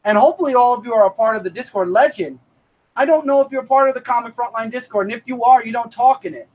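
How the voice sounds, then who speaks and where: A man's voice: very high voice, quick pace, darkish tone, poor audio. A man in his thirties hosting a casual comic review live stream, upbeat and thanking viewers by name. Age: 30s.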